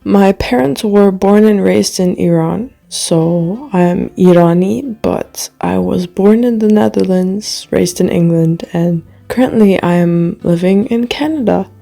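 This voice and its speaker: A woman's voice: medium pitch, a little slow, gravelly, hardly any pauses, mellow. A woman introducing herself as a new podcast guest in a friendly, careful way. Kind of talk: prepared self-introduction.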